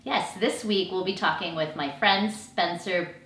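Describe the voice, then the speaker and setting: A woman's voice: lowish voice, a little slow, fairly bright tone. A woman co-hosting a health and fitness talk show, giving an upbeat welcome and asking chatty interview questions. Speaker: co-host.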